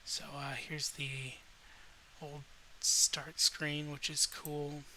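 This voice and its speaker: Male host, unhurried and hesitant, slightly rough but very bright voice, winding-down ramble over faint hiss. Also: on the high side, level tone, poor audio. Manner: hesitant, winding-down, frequent pauses. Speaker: video host. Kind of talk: relaxed late-night ramble, reading trivia aloud. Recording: noticeable faint background hiss.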